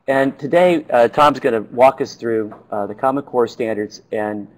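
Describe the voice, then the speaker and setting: A man's voice: mid-range voice, moderate pace, low-quality recording. A man hosts an online webinar over a call. He introduces the speaker in a rambling, stumbling way, with frequent uhs and repeated words. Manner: rambling, stumbling, many uh fillers. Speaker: webinar host.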